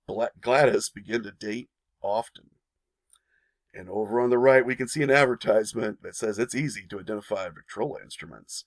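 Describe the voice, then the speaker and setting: A man with a gravelly, mid-pitched voice narrates a history lesson for his channel. He reads the story, then drops into casual asides that point viewers to more. The sound is dry and close, with no room around it. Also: dry recording.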